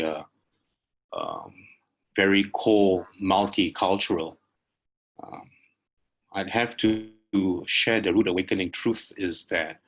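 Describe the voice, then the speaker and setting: A middle-aged man from Singapore joins a webinar on his laptop camera, his voice very deep. He speaks earnestly but haltingly, pausing often on uh as he builds his point. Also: fairly bright tone. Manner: halting, many uh fillers, earnest. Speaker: webinar participant. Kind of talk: spoken comment on a video call. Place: indoor room at home. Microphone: laptop webcam video call.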